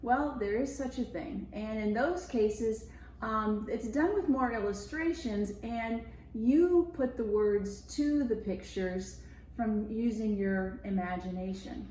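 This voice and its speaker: A woman's voice: a little high, slow pace, warm tone, a little hiss or hum. An American woman reading a picture book aloud to children as a school media specialist, with conversational explanatory asides. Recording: roomy echo.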